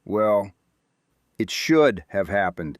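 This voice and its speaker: Actor as a grave, deliberate male researcher; low voice, dry recording. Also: lively intonation, no echo. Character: serious man, community researcher, grave, weary. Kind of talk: audio drama acting.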